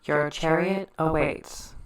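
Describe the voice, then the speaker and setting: Man voicing an eerie carnival girl, pitched up. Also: fairly even intonation, takes it slowly, smooth. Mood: sly showmanship. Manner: matter-of-fact, philosophical, showy barker intoning. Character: eerie ghostly carnival girl, cheerful menacing ride operator.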